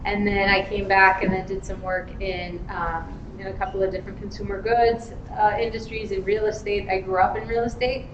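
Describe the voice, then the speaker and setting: A woman's voice: medium pitch, steady tone, normal speed, hardly any pauses. A woman from Argentina, raised in Los Angeles, speaking on an innovation panel in a chatty, conversational way with many fillers and restarts. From Argentina, grew up in Los Angeles. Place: event space with live audience. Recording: echoey room, dull sound, noisy background.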